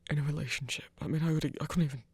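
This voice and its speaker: An actor playing a shy, insecure werewolf hunter in an intimate ASMR romance, stammering in a breathy, gravelly voice. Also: medium pitch, steady tone, racing, dry recording. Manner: hesitant, stammering, self-doubting.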